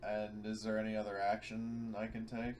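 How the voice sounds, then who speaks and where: Lowish-voiced man chatting at game table. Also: monotone, normal speed, a little mellow, slight background noise. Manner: blunt, sweary. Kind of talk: casual chat.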